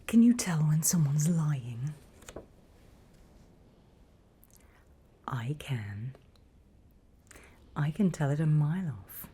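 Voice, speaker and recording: A British woman playing a sly, greedy female demon auctioneer in a whispered ASMR roleplay, with a soft breathy voice and a deliberate, coolly questioning manner. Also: sits low, lively intonation, full character voice. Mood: dry, teasing.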